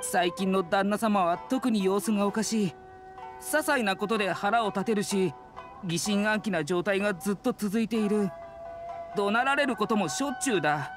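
A man's voice: high-pitched, moderate pace, lightly acted. Guy voicing worried tired servant in anime game, grumbly, music in the back. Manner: fretful, grumbling. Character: worried, weary household servant. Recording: music behind the voice.

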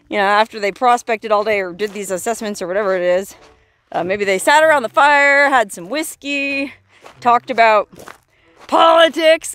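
A woman's voice: a little high, a bit bright. A woman in her forties, filming herself on a phone while exploring an old mine and a desert canyon, talking casually with awed exclamations and laughs. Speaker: solo explorer vlogger. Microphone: handheld phone at arm's length.

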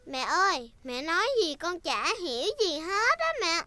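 Very high-pitched cartoon little girl pig. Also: very animated, bright, forceful tone, soft background music, full character voice. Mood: curious. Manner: childlike, slow and eager.

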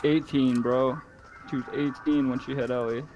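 A man's voice: mid-range voice, steady tone, normal speed, a little mellow, dull audio, soft background music. A man talking casually during a game, in slang with some swearing. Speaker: gamer in a squad voice chat. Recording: slightly noisy game voice chat.

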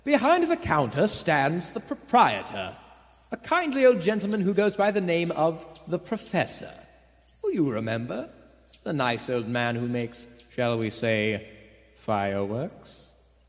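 Man playing a wry, highly animated radio narrator. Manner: wry, deliberate pauses. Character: wry, knowing male radio-play narrator. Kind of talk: scripted live radio narration. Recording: echoey theater hall with music.

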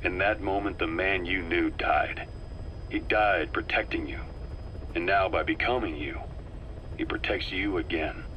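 A man acting a calm, calculating middle-aged intelligence operative in a video game, speaking in a measured, deliberate way. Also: deep voice, slight background noise. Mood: solemn. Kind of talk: dramatic game dialogue, briefing.

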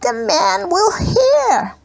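A woman voicing a small, frightened boy in a children's storybook, squeaky and whiny with worry. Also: big pitch swings, drawn-out words, creaky, full character voice, rough recording. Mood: anxious.

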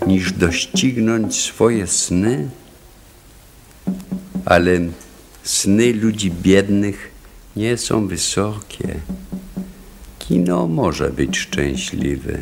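An actor playing a solemn, wise village elder in a radio play, with a measured, grave delivery. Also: male voice, on the low side, very animated, vocal fry, over music, full character voice. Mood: grave, knowing. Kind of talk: radio drama acting, solemn storytelling.

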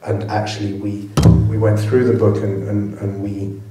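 A man's voice: on the low side, level tone, normal speed, loud music behind the voice. A male film director answering audience questions at a cinema Q&A, thoughtful and prone to restarting his sentences. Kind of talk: post-screening Q&A answers. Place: cinema auditorium. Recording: echoey hall, from far off.

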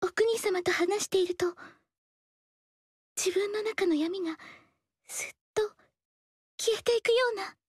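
An actress voicing a gentle, melancholy young female dancer who speaks softly and politely in a game. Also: extremely high pitch, measured pace, pauses often, dry recording. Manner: soft, polite and reserved. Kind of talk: game dialogue. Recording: dry studio voice.

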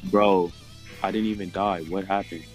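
A man's voice: deep voice, fairly even intonation, moderate pace. A young man talking casually with teammates in a gaming voice chat. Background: music.

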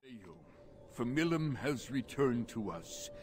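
An actor playing a grave, formal alien native warrior in a video game. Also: male voice, mid-range voice, very animated, takes it slowly. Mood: grave, hopeful. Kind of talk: video game character acting.

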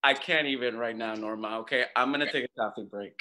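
A man's voice: mid-range voice, fast talker, pushed and bright, a little hiss or hum. A man in his thirties playing a hot-headed, wounded young chef in a naturalistic table read, slangy and exasperated. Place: room at home, video call.

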